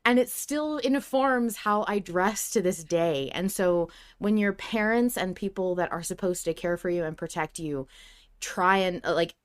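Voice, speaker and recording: Lower-voiced woman chatting emphatically. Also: measured pace, smooth. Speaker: podcast co-host. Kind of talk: casual podcast discussion.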